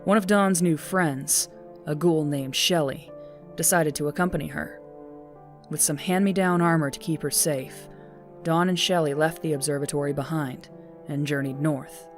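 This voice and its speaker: Low-toned woman narrator over music. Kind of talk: scripted story narration. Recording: music behind the voice.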